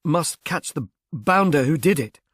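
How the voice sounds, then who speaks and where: A man voicing a shy, bewildered young man with a halting, slightly stammering delivery in a mystery audiobook. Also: a little high, expressive, husky, dry recording, clean studio sound. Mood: miserable. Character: bashful, bewildered young man, haplessly miserable. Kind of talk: audiobook character voice, British mystery novel.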